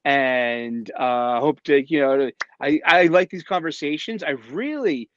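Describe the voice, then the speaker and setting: Guy guest on a video call, kinda high voice, lots of ums and restarts, dull lo-fi sound. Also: slight background noise. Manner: halting, many restarts and fillers. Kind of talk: casual chat on a livestream.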